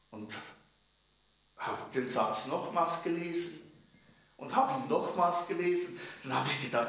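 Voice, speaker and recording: A man's voice: on the high side, a bit bright. A man from Bern with a Swiss German accent preaching a sermon, telling it emphatically with rhetorical questions. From canton of Bern, Switzerland. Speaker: preacher and mission leader. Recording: very echoey room, distant from microphone.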